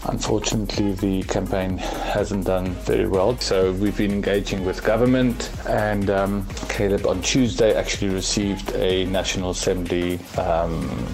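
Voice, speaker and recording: A man, a proud father speaking earnestly on a radio interview, pausing often with fillers. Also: deep voice, fairly even intonation, over music, rough recording. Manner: earnest, hesitant with many fillers. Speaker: guest's father.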